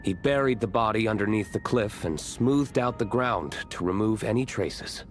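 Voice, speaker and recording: A man's voice: lowish voice, normal speed, faint music behind, lightly acted. A man playing a stern, reserved nobleman in a video game, testifying in a serious, curt manner. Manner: serious, matter-of-fact, curt.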